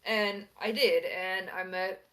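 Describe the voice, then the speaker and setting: A woman's voice: mid-range voice, fairly even intonation, slow pace, a bit bright. A woman chatting casually on a livestream, telling personal anecdotes in a rambling, conversational way.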